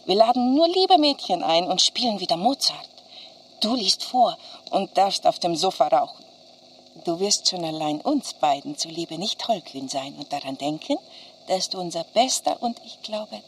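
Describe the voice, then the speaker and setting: Affectionate wartime correspondent: lowish, animated, tender, with slight ambient noise. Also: female voice, a little slow. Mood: tender, hopeful. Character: affectionate woman friend reading her wartime letter. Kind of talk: radio drama letter reading.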